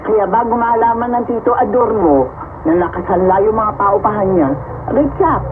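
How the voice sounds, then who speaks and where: A woman's voice: mid-range voice, normal speed, a little hiss or hum, close to an everyday voice. An actress playing a money-hungry, insecure middle-aged mother who defends her schemes in a Filipino radio drama. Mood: breezy, confident. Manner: self-justifying, dramatic. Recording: thin phone-like sound, loud music behind.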